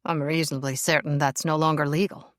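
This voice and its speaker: A woman voicing a gruff, curt young aristocratic man in a lowered voice. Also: fairly even intonation, brisk, no room sound, studio quality, close to an everyday voice. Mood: dry.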